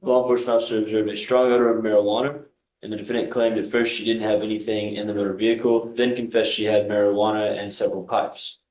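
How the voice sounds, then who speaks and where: Roomy echo, male prosecutor, American accent, monotone delivery, lowish pitch, formal report reading. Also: quick pace. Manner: monotone, procedural. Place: courtroom. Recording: echoey courtroom sound.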